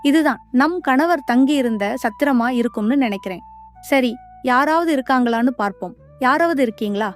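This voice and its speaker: A woman's voice: sits high, moderate pace, faint music behind, pitched above the performer's own voice, natural voice. A woman voicing a brave, clever young wife in a children's cartoon, speaking in an earnest, determined way. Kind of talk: cartoon voice acting.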